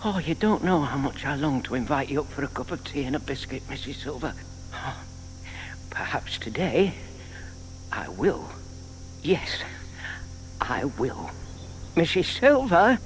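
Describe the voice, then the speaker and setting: An actor playing a shy, lonely retired gentleman, hesitant and wistful, in an audio drama. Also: medium pitch, big pitch swings, unhurried, long pauses, rough recording. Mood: nervous, faltering. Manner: hesitant, wistful, muttering to himself. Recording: faint hiss on old cassette drama.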